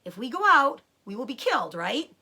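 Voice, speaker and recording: A woman's voice: fairly high pitch, very animated, normal speed, vocal fry, bright, forceful tone, breathy edge, heavily acted. Woman playing a rousing, jubilant male fox leader. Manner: rousing, declamatory.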